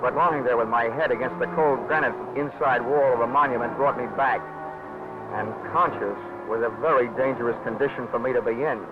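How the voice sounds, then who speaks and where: A hard-boiled investigator tells his case with dry wisecracks in an even, mid-pitched voice, as an actor plays him. The sound is thin and tinny, and music plays beneath. It has the feel of an old radio crime drama. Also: close to an everyday voice. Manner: dry, wisecracking first-person narration. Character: wry insurance investigator man, hard-boiled narrator. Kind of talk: 1940s radio acting.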